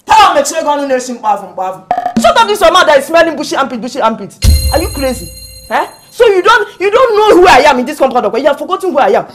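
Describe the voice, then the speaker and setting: An actress with a Nigerian accent playing a sharp-tongued, haughty young woman in a comedy-drama, trading mocking insults. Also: sits high, very animated, music in the background. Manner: mocking, insulting, rapid-fire. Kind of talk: screen comedy-drama acting.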